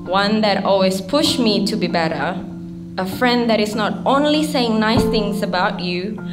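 A woman giving a heartfelt wedding speech as a close friend. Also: mid-range voice. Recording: music playing over the speech. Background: guitar music.

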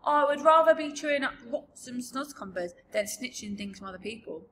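A woman voicing a huge, very old, gentle male giant from a storybook, with mangled grammar and invented words. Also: on the high side, expressive, brisk, pitched above the performer's own voice. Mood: proud, gentle. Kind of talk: storybook character voice.

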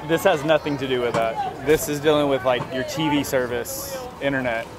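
A man's voice: medium pitch, fairly even intonation, normal speed, background noise. A young man explaining things as a cable and internet salesman. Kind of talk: explanatory street sales talk. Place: outdoors on a city plaza. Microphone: camera a few feet away.

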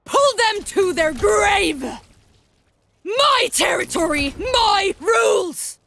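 An actress playing a fierce woman fighter shouting battle cries in a video game. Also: very high-pitched, animated, slow pace, vocal fry, no echo, full character voice. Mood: fierce, commanding. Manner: forceful, shouted. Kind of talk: video game combat voice lines.

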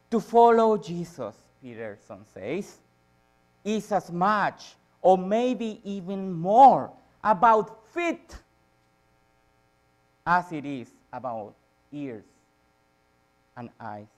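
Guy preaching in church, slightly high voice, repeats stuff for emphasis, goes loud then soft. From Chile. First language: Spanish. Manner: emphatic repetition with dramatic rises and drops in volume. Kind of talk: church sermon. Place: church sanctuary, speaking from a lectern on stage.

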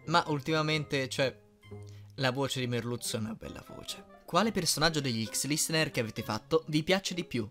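A man's voice: on the high side, moderate pace, fairly bright tone. A man in his early twenties, chatting casually as he reads and answers questions. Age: early 20s. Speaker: YouTube fandubber answering fan questions. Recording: music playing behind. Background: music.

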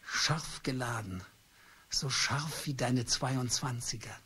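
A bitter husband in an old radio drama, laying out his accusations coldly and deliberately. His voice sounds very husky and rough. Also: medium pitch, pushed and bright, heavily acted. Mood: coldly accusing. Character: bitter middle-aged husband turned cold avenger. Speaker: husband Charles. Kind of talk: radio play acting.

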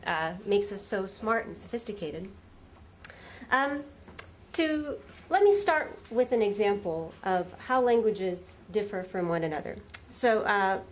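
A woman whose first language is Russian gives a lively academic lecture from a lectern in a large hall, with frequent fillers and rhetorical questions to the audience. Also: mid-range voice, a little hiss or hum.

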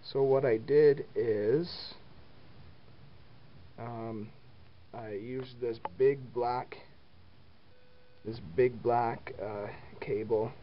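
A man casually walking through his basement wiring on camera, unhurried and full of ums and uhs. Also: medium pitch, steady tone. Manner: unhurried, many ums and uhs, plain easygoing talk. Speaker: hobbyist showing home phone wiring. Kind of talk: casual filmed walkthrough. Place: basement, indoors. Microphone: handheld camera mic, speaker off screen behind it. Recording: dull lo-fi camera audio with faint hiss.

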